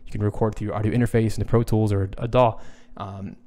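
A man in his thirties with a low, deep-set voice talks through viewer questions on a boom microphone in a small home studio. He thinks aloud as he goes, stopping to restart phrases and filling gaps with ums. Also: level tone, fast talker, dark tone. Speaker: music composer answering viewer questions. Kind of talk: casual livestream Q&A. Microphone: boom studio microphone, headphones on.

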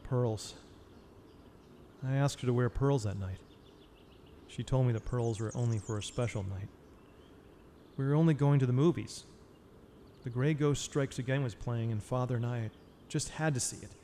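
A man's voice: mid-range voice, moderate pace, long pauses, dark tone, in a large hall, slight background noise, close to an everyday voice. A man playing a brooding, haunted vigilante hero, narrating a noir inner monologue in an audio drama.

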